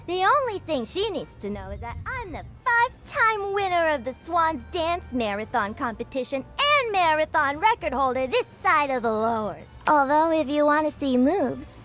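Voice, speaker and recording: High, lively, brash older dame. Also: female voice, measured pace, faint music behind, heavily acted. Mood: boastful. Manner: boastful, teasing, animated. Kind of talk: video game character acting.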